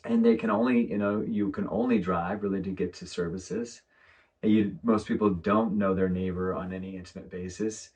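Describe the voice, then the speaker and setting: A man's voice: sits low, fairly even intonation, normal speed, darkish tone. A man, an architect guest, talking thoughtfully in a rambling way with many fillers in a casual conversation. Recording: distant from the mic.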